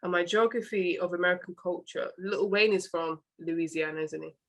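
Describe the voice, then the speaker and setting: A woman's voice: fairly low pitch, fairly even intonation, moderate pace, a bit bright. A woman with a British English accent hosting a podcast interview, talking in a chatty, rambling way and often restarting her words. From England. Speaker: podcast host. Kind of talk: casual interview chat.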